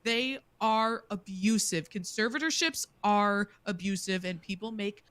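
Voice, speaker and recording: A woman's voice: mid-range voice, a little slow, fairly bright tone, dry recording. A woman hosting a casual streamed panel chat, talking with passion and plenty of fillers as she makes her points. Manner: impassioned, rambling with fillers. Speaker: panel host.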